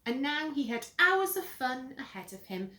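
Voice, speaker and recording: Animated, high-voiced woman reading a story. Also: noisy background. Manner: dramatic, deliberate. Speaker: teacher reading a story to pupils. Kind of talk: expressive children's storybook narration. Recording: roomy echo.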